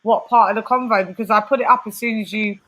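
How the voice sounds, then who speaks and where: Woman, london accent, bright voice, chatty livestream host. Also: mid-range voice, steady tone, fast talker, rough recording. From West London. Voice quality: chatty, reading out comments. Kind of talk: casual chat.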